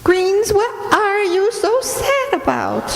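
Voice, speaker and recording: Cheeky boy dummy played by a woman, very high and lively, sarcastic radio-comedy wisecracker. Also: heavily acted. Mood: sardonic. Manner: sarcastic, quick comebacks. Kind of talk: old-time radio comedy acting. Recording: echoey hall, clear background noise.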